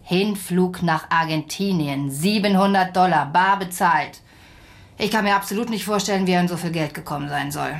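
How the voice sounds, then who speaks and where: A low-pitched woman, weary and blunt as she gives her account to police. The delivery is naturalistic radio drama, plain and conversational. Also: moderate pace, gravelly. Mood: weary, bewildered.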